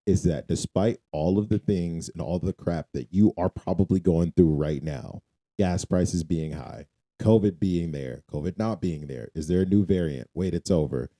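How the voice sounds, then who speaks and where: A man's voice: sits low, normal speed, dark tone. A man co-hosting a faith podcast, speaking informally and emphatically, often repeating phrases to drive them home. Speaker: podcast co-host. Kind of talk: casual conversational faith podcast.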